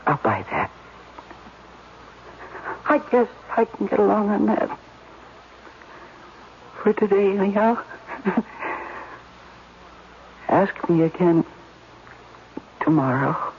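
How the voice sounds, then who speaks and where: Casting: miserable but wry American stage actress, emotional, halting delivery; dull, noisy vintage radio drama sound. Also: female voice, mid-range voice, big pitch swings. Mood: resigned, wry. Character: desperate, wry, theatrical married actress, worn down and miserable.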